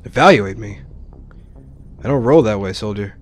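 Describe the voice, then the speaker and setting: A man's voice: on the low side, lively intonation, normal speed, a little hiss or hum. A man giving casual let's play commentary on a video game, with wry, joking asides.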